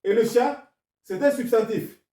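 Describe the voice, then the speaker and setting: A man's voice: very high voice, a little slow, mellow, reverberant, distant mic. Argumentative man with a non-native French accent. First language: not French. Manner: argumentative, insistent, explaining step by step. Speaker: self-taught grammar commentator. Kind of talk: explanatory video lesson.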